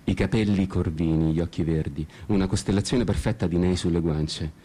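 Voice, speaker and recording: An actor playing an ironic, lovestruck storyteller in a literary radio play, speaking in deliberate, measured phrases. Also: male voice, low-pitched, fairly even intonation, dark tone. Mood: wistful, ironic. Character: ironic, disenchanted male storyteller, lovestruck drinker in Prague. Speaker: actor and narrator. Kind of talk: literary radio theatre monologue with sung passages. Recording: guitar music behind the voice.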